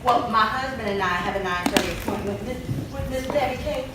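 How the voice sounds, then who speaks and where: A woman's voice: fairly high pitch, a little fast, lightly acted. A woman playing an earnest, flustered wife in a stage play, hesitant and polite. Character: earnest, flustered wife seeking marriage counselling. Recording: very noisy, echoey, distant mic.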